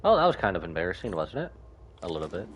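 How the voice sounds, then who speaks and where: A man's voice: deep voice, sing-song, fast, slight background noise. A man streaming a video game, commenting casually and musing aloud with some self-deprecation. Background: game music.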